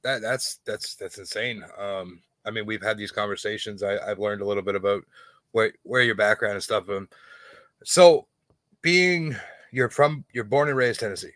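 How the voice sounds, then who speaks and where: Canadian-raised guy hosting, bright edgy voice, dry close sound, trips over his questions. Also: lowish voice, normal speed, no room sound. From Toronto, Canada. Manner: friendly, stumbling questioner. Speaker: podcast host. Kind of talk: casual interview.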